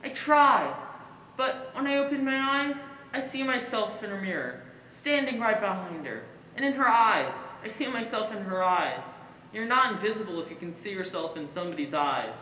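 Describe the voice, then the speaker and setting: Guilty scared young woman, actress on stage, bright voice, echoey room. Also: mid-range voice, very animated, moderate pace. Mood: anxious, guilty. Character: fearful, guilt-ridden young woman who feels jinxed. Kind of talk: theatrical stage monologue. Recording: echoey, distant, faint noise.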